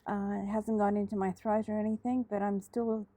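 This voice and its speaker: Chatty Australian woman, mellow voice. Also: mid-range voice, level tone, normal speed, no echo. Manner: hesitant, lots of ums and uhs, chatty. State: slightly throaty from a head cold. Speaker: craft channel host. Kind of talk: casual crafting tutorial chat.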